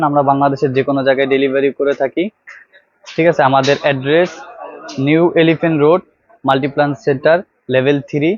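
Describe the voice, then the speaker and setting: A man with a Bangladeshi Bengali accent, a shop salesman showing products to viewers in a loose, repetitive sales pitch full of filler sounds, on a clip-on mic. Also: no echo, highs cut off. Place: computer accessories shop. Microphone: clip-on tie microphone.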